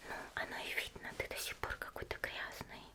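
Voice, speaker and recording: A fully whispered, breathy female voice of a woman acting as a cheerful young demon slayer in an ASMR spa roleplay. She is gentle and caring, chatting softly and unhurriedly with small hesitations, as if pampering the listener. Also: a little fast, natural voice. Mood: caring, chatty.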